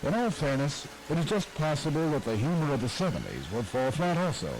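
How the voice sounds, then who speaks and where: Man, documentary narrator, measured delivery, lively pitch, faint hiss. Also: low-quality recording. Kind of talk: scripted radio history narration.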